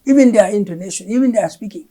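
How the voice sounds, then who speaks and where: Gambian woman, mellow husky voice. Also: medium pitch, quick pace. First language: Fula. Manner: emphatic, repeats words when searching. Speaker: curriculum official, interview guest. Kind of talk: explaining education policy in an interview.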